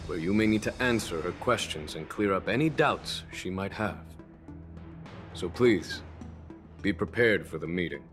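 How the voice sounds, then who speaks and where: A man playing a calm, weary, diplomatic general in a video game, formal and unhurried. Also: fairly low pitch, natural voice.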